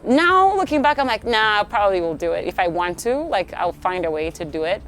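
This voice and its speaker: A woman's voice: mid-range voice, big pitch swings, a little fast, fairly bright tone, a little hiss or hum, low-quality recording. A young woman from Uganda, answering interview questions outdoors in a chatty, reflective way, often saying "like". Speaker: guest, tree program coordinator. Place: outdoors at a tree nursery.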